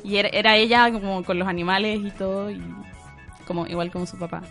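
A woman's voice: medium pitch, steady tone, fast talker, fairly bright tone. Woman podcast co-host, loose rambling storyteller, faint music behind. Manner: rambling, many fillers, laughs often. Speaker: co-host presenting the segment. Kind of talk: casual podcast chat.

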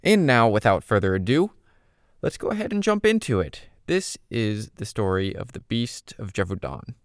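A man's voice: on the low side, very animated, moderate pace, clear, resonant tone. A man hosting a podcast, reading a scripted story with a dramatic, suspenseful delivery. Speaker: podcast host.

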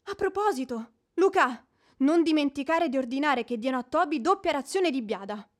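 High-voiced, haughty widowed lady. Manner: haughty, formal, firm. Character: proud, formal widowed lady landowner, firm and easily offended. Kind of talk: theatrical radio drama acting.

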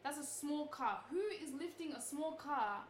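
A woman's voice: sits high, normal speed, reverberant, slight background noise. A woman in casual group chat, asking pointed questions and explaining with many fillers.

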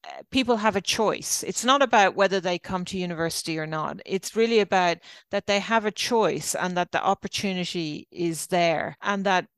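Smooth-voiced Irish woman, dry close sound. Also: lowish voice, moderate pace, few pauses, no room sound. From Ireland. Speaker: guest, university professor and researcher. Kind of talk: reflective interview answer.

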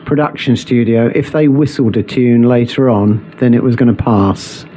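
Male co-host, mellow, agreeable, casual radio banter. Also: medium pitch, moderate pace. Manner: agreeable, answers with short yeses. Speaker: radio co-presenter. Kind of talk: casual chat.

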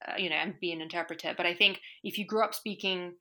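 A woman's voice: lowish voice, fairly even intonation, fast talker, pushed and bright. A woman guest in a casual interview, talking thoughtfully with many restarts. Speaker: language course provider, guest.

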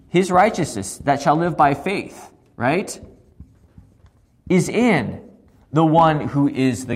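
A man with a hoarse voice teaching a Bible passage, asking questions and answering them himself. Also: fairly high pitch, darkish tone. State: losing his voice, hoarse. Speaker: pastor teaching a Bible study.

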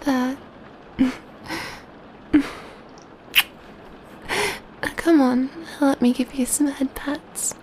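A very high, mellow female vampire, acted by a woman for an ASMR roleplay, cooing and soothing as she pampers her companion. She takes her time, speaking slowly and tenderly, close to the listener. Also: level tone, slight background noise, low-quality recording. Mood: tender, playful, then affectionate. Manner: tender, cooing, soothing, often dropping to whispers. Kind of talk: ASMR roleplay, soft intimate speech.

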